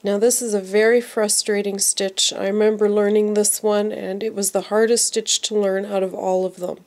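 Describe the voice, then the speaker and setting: A woman's voice: medium pitch, level tone, moderate pace, darkish tone. A woman teaching knitting step by step, sounding congested from a cold and repeating each move patiently. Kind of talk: slow step-by-step craft tutorial.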